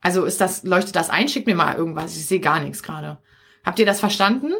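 Woman, Berlin accent, business coach streaming live, breathy voice, direct and conversational. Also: fairly low pitch, fast talker, a bit bright. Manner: chatty and direct, tags points with "okay?".

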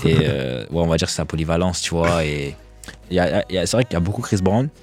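A young man, a fashion designer, chatting casually in an interview, relaxed, often restarting and repeating himself. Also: low voice, quick pace, faint music behind. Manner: relaxed, restarts a lot, peppers speech with "tu vois".

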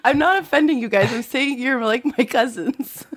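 A woman with a high voice speaks in a thick Italian-Canadian accent. She chats casually, playful and teasing, leaning hard into the accent she grew up with. The tone is loose, friendly banter. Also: normal speed. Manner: playful, puts on a heavy neighborhood accent. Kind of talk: casual chat.

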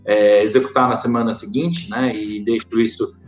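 A man with a Brazilian accent, a podcast guest talking casually, often pausing with fillers and restarting his sentences. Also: lowish voice, fairly even intonation, reverberant, soft background music. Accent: Brazilian Portuguese. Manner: hesitant, many fillers and restarts. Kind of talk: casual interview chat.

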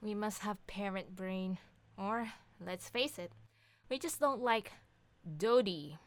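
A woman playing a sharp-tongued, bossy wife and mother who teases bluntly in a scripted audio comedy drama. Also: medium pitch, slow, close to an everyday voice. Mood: dry teasing. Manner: sarcastic, bantering, blunt.

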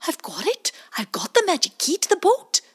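A woman's voice: high voice, big pitch swings, normal speed, slightly rough, voice pushed up, exaggerated voice. A woman doing an eager baby otter. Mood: excited. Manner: eager, earnest.

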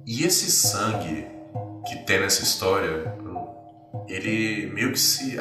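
A man acting as game master, narrating scenes descriptively in measured short phrases with pauses between them. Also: fairly low pitch. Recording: echoey, distant, with music behind. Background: background music with guitar.

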